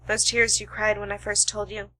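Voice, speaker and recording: A woman's voice: mid-range voice, little pitch movement, moderate pace, sharp tone, close to an everyday voice, rough recording. A woman playing a shrewd, self-assured detective in an amateur radio play, explaining with dramatic deliberation. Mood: accusing.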